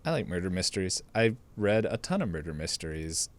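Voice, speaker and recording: A man's voice: sits low, very animated, a little fast, no echo. A man co-hosting a podcast in casual chat, hesitant and rambling as he thinks aloud. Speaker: podcast co-host.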